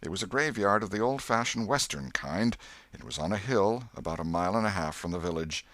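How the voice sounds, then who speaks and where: Deep-voiced man narrating an audiobook. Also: animated, fairly quick, a bit bright, no echo. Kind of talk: scripted literary narration.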